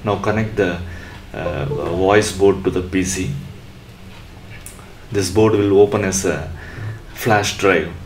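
A man presenting an electronics tutorial step by step in a halting, instructional way. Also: low voice, slight background noise.

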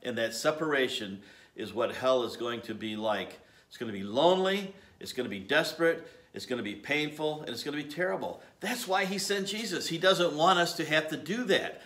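A man's voice: a little high, animated, a little fast, fairly bright tone. A middle-aged man in his fifties giving an earnest sermon from his home garage, gesturing as he speaks to a camera at desk distance. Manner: earnest, gestures with his hands while preaching. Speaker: lay preacher.

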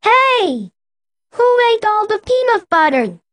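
A woman's voice: very high voice, very animated, slow, a bit bright, no room sound, heavily acted. A woman voicing a silly, playful little male animal in a children's learning game. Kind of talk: children's educational game acting.

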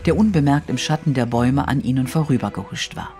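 An actor as the narrator of a German mystery audio drama, reading scripted storybook prose. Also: male voice, medium pitch, fast, a little mellow, close to an everyday voice. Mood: measured. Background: music.

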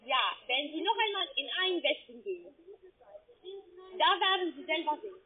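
A high, animated woman's voice with a foreign accent comes through a tinny phone line. She is an insistent, non-native call center agent who cuts in with halting phrasing. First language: not German. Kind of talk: phone sales conversation.

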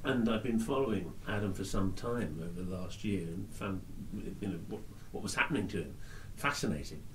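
An actor being interviewed who talks in an animated, chatty way with frequent restarts. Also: male voice, deep voice, fairly quick, far from the mic, slight background noise, low-quality recording. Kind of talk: casual interview talk.

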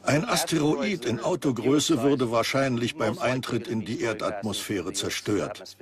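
Serious scientist, measured explanation, documentary dub, medium pitch, voices in background. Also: male voice. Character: earnest male scientist warning of cosmic threats. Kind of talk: dubbed documentary expert explanation.

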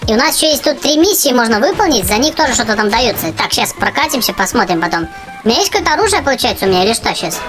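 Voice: mid-range voice, very animated, racing. A gaming channel host giving casual, exclamatory gameplay commentary for children, addressing viewers as friends. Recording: music behind the voice.

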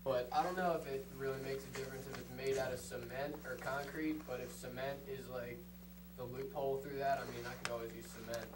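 A man's voice: mid-range voice, fairly even intonation, brisk. Young man, distant and noisy. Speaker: resident presenting a proposal. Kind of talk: informal public presentation. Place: council meeting room, far camera. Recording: distant room mic, loud background noise.

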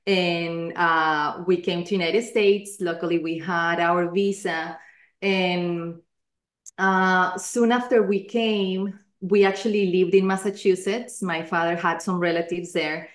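A woman's voice: lowish voice, fairly even intonation, slow. Colombia-born woman, roomy laptop audio. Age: 40s. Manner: earnest, many fillers and restarts. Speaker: guest, life coach. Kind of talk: video call interview. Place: living room at home.

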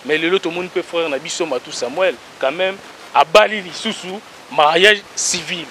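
A man, hosting an outdoor talk show with energetic, emphatic delivery into a handheld mic. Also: a little high, normal speed, slight background noise. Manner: energetic, emphatic, rattles off shout-outs. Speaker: host. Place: outdoor garden by a wall.

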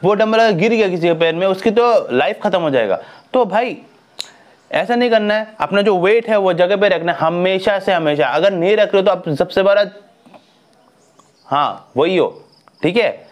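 A man's voice: high-pitched, normal speed. A young man in his twenties talking to camera on a lapel mic in a small indoor room, giving earnest, scolding fitness advice. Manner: earnest, lively hand gestures, scolding advice. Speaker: fitness vlogger. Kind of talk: casual talk to camera.

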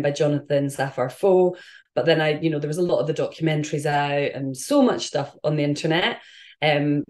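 A woman in her thirties with a London accent, chatting casually while cooking live in her home kitchen, rambling with lots of fillers and restarts. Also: low-pitched. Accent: London English. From England. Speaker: vegan cook and host. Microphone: camera on the counter, a few feet away.

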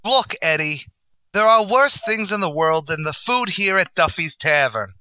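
A man's voice: high-pitched, very animated, moderate pace, sharp tone, smooth, no room sound, heavily acted. An actor playing a brash, boastful male tavern manager, in a wisecracking 1940s radio comedy style. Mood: excited.

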